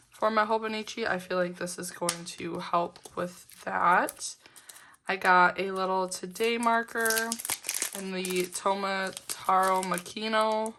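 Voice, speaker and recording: A woman talking through an unboxing in a bright, edgy tone that barely rises or falls. Her voice sounds slightly off from being sick, but she stays chatty and giggly, filling gaps with ums and likes. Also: on the low side, a little hiss or hum. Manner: chatty, upbeat, giggly, with frequent fillers. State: voice roughened from a lingering illness. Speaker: planner vlogger. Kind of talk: casual unboxing chat.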